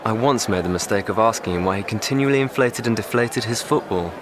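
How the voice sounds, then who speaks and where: An actor playing an educated, articulate young English private of the First World War, speaking formally with dry irony in an audio drama. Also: male voice, fairly low pitch, quick pace, music in the background, natural voice. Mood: dry, composed. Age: young adult. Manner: formal, wordy, dryly ironic.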